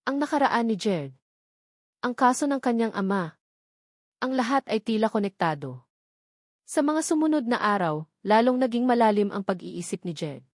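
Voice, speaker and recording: A woman reading a scripted dramatic story as narrator, in a measured, solemn storytelling delivery. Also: on the high side, sing-song, darkish tone, no room sound, clean studio sound.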